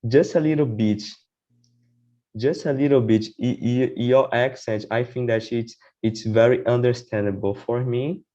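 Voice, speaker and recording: A man speaks, talking slowly and deliberately in a relaxed podcast chat. He stumbles and restarts often and tags his points with "right?". The audio sounds dull and lo-fi. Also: mid-range voice. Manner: halting, many restarts, repeats "right?". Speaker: podcast guest. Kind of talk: casual conversation.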